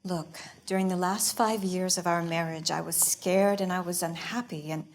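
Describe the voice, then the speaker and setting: A woman playing a nervous student who performs a dramatic monologue as an unhappy estranged mother, hesitant and halting. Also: fairly low pitch, fairly even intonation, close to an everyday voice. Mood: sad, pleading. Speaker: acting student. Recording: clear background room noise.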